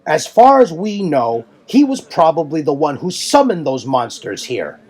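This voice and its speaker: A man voicing a hot-headed male warrior who declaims in a forceful, accusatory way. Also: a little high, sing-song, a little slow, husky, voice pushed up, full character voice. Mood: indignant.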